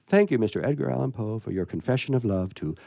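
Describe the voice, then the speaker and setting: A man hosts a radio theatre show in a mellow, lively voice that moves freely up and down. He rambles playfully between chat and readings with a theatrical touch. The sound is close and dry. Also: mid-range voice, quick pace, gravelly, no echo. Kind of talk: live chatty hosting with readings aloud.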